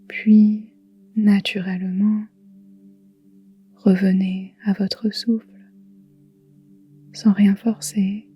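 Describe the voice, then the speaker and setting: A woman guiding a relaxation meditation in a soft, whispery, calm and soothing voice, leaving long pauses. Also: medium pitch. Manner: soft, breathy, whispery delivery. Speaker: yoga nidra guide.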